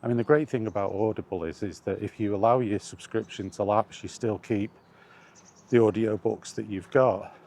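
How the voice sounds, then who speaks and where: A man's voice: lowish voice, normal speed, darkish tone. A man chatting casually in a meandering, hesitant way as he records a walking vlog outdoors, often correcting himself. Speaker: vlogger. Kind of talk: casual rambling walk-and-talk chat.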